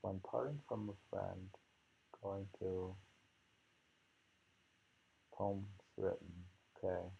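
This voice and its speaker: A man reading poems aloud in a slow, halting, musing way, repeating lines and adding informal, rambling commentary, on a dull lo-fi recording. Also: deep voice, fairly even intonation, vocal fry, breathy edge. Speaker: reader and commentator of poetry.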